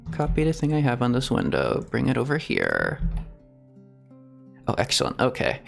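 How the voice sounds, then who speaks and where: A male livestreamer talks casually at a medium pitch with plenty of creak in his voice. His delivery is halting and giggly, wandering from phrase to phrase. Soft game music sits faintly behind him. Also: wildly swooping pitch. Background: video game music.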